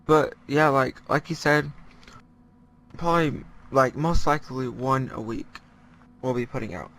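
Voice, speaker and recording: A man's voice: medium pitch, fairly even intonation, unhurried, fairly bright tone, slight background noise. A young man co-hosting a casual podcast, laid-back and giving short agreeing replies. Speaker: podcast co-host.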